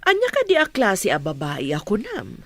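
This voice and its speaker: A woman's voice: medium pitch, huge pitch swings, normal speed, no room sound. A woman playing a patient, probing mother in radio drama, with an Ilocano accent.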